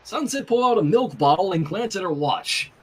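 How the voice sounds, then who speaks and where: Male fan fiction narrator, wry and very lively, slightly husky, breaks off to comment. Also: high-pitched, a little fast. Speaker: story reader. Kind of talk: fan fiction reading with casual asides.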